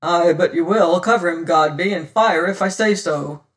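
A man's voice: fairly high pitch, normal speed, a bit bright, recorded from a distance, natural voice. A man reading an adventure story as a sly, self-assured pirate who taunts and lectures in archaic seafaring speech. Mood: scornful lecturing. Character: sly, scornful, silver-tongued pirate rogue, mocking and self-assured. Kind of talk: dramatised audiobook reading of an adventure tale.

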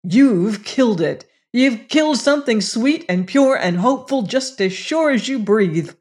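A woman's voice: fairly high pitch, lively intonation, measured pace, a little mellow, voice pushed up. A woman voicing a passionate, protective man in a storybook, grief-stricken and reproachful, with raised, emphatic delivery. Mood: anguished reproach.

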